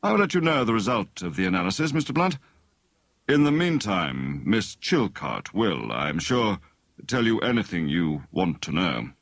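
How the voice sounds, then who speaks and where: A man voicing a kind, worried elderly doctor in an audiobook, speaking in a formal, deliberate, clinical way. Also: low voice, very animated, dry recording, studio quality, exaggerated voice. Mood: grave, measured.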